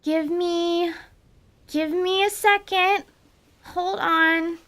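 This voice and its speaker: A woman's voice: very high-pitched, fairly even intonation, drawn-out words, a bit bright, exaggerated voice. A woman voicing a bold, blunt little girl in a radio drama, speaking frankly. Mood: chatty. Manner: frank, chattery, plain-spoken.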